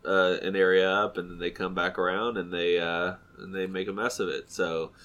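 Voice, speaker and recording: Guy in his 30s, stuffy nasal voice, kinda low, rambles and restarts a lot. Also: little pitch movement, normal speed, a bit bright. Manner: rambling, self-correcting. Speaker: podcast co-host. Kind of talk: casual chat.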